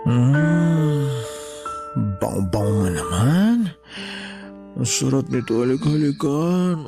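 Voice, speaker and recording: A man playing a drunk, lecherous young bully with a Filipino accent in a radio drama, hushed, threatening and defensive. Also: mid-range voice, expressive, slow, vocal fry, over loud music. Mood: leering.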